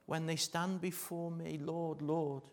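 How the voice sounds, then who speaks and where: A middle-aged man with a Liverpool accent preaching a sermon, mixing jokey asides with earnest warnings that sink to a hush. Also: on the high side, little pitch movement, slow pace. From Liverpool, England.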